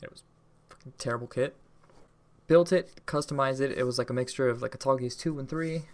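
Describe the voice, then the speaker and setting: A man's voice: mid-range voice, brisk. A man co-hosting a podcast, chatting and telling stories in an informal, casual way. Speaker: podcast co-host. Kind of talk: casual chat and storytelling.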